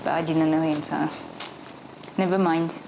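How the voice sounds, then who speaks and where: A woman's voice: sits low, steady tone, normal speed, gravelly, background noise. A woman training as a pilot, speaking in an interview and searching for her words as she talks.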